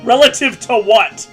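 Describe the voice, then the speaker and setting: A man reading a story aloud with mocking, jokey asides, stammering and stumbling over the text. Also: sits high. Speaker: co-host reading fan fiction aloud. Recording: music playing behind the voice. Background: video game music.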